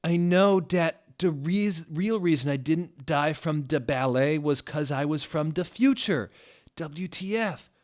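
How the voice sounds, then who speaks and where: A teenage goth vampire girl, played by a man in a comic fan fiction reading. The voice is pushed high and emphatic, with melodramatic, angsty intensity. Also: measured pace, a little mellow, close to an everyday voice. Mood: indignant.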